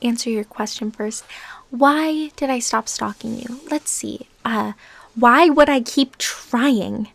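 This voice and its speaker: A woman playing a lovesick, defensive and unstable former stalker in an intimate audio drama, speaking in a halting, emotionally intense way. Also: a little high, gravelly, dry recording, full character voice. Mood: jarred, guarded. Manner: halting, pleading, emotionally intense, drops into whispers.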